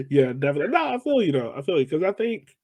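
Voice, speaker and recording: A man's voice: a little high, big pitch swings, fast talker, darkish tone, no room sound. A man hosting a sports podcast, asking questions in a casual, conversational style. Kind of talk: casual interview.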